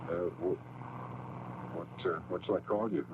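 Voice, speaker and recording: An actor playing an awkward, polite man with halting speech in a 1980s radio drama. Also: low-pitched, fairly even intonation. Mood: flustered, apologetic. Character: awkward, apologetic man fumbling through an introduction. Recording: noisy tinny old radio broadcast.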